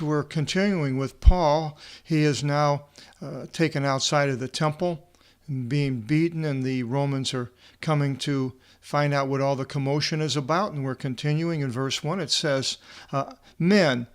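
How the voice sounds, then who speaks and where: Halting man teaching a Bible lesson. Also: medium pitch. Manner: halting, with pauses between short phrases. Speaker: Bible teacher and translator presenting a video seminar. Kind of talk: informal verse-by-verse lecture, reading aloud and explaining Greek words.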